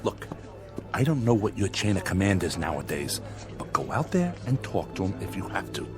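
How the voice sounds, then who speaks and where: An actor playing an exasperated, bossy TV Western director with an American accent, emphatic and impatient, in a radio drama. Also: male voice, low voice, big pitch swings, moderate pace, over music.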